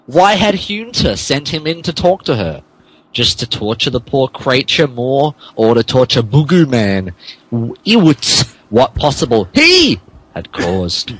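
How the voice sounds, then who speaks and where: Theatrical man narrating, mid-pitched and stumbling. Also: big pitch swings, a little slow, fairly bright tone, slightly rough, poor audio. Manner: theatrical, stumbling over misspelled text. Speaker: podcast host reading as narrator. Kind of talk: comic dramatic reading of fan fiction.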